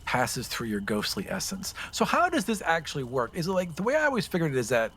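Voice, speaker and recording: A man playing a tabletop game, chatting casually and improvising story in an expressive way. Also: on the high side, quick pace, breathy edge.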